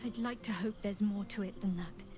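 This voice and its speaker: An actress playing a guarded, devout young female cleric in a video game, measured and dryly wry. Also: mid-range voice, steady tone, close to an everyday voice. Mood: solemn, devout. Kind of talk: video game dialogue acting. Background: game music.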